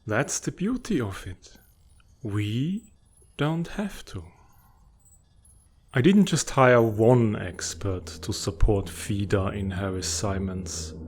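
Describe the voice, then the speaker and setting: A man acts out a sinister schemer from a fantasy comic dub. He speaks slowly and rather low, with formal, theatrical phrasing. His menace is cool and completely self-assured. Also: lively intonation, a little mellow, slight background noise. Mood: gloating. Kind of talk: dramatic comic fandub acting.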